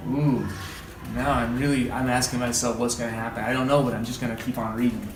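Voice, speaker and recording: A man reading a story aloud as a teacher, with dramatic, deliberate phrasing and questioning asides. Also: medium pitch, little pitch movement. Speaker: read-aloud teacher and trainer. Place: indoor room, training session. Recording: echoey room, distant mic, background noise.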